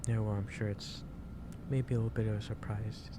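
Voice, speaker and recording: A man playing a gentle, caring office boss in an ASMR roleplay, speaking in a halting, reassuring way. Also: fairly low pitch, natural voice. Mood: gentle concern. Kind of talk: improvised ASMR roleplay, one-sided conversation. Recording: steady loud background noise.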